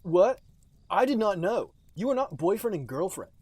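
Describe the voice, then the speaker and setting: A man's voice: sits high, very animated, normal speed, darkish tone, slightly rough, no echo, heavily acted. A man voicing a worried, bewildered teenage cartoon chameleon in a fan fiction reading. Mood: startled protest.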